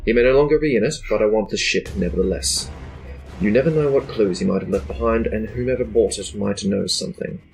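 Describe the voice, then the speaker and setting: Guy acting as a cold bossy space empire commander, lowish voice, threatening, audio drama w music under it. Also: a little fast, vocal fry, poor audio. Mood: commanding. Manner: cold, clipped, exasperated authority. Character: stern, threatening male Imperial baron and commander. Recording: music behind the voice.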